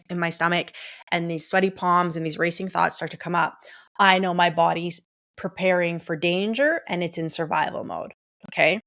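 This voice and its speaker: A young adult woman coaching listeners through a skill step by step, often checking in with "okay?". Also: on the low side. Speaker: coach and podcast host. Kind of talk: casual coaching talk.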